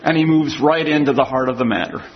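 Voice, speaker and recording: A man's voice: medium pitch, quick pace, dull audio. A man preaching an expository sermon. He reads scripture aloud and then explains it verse by verse.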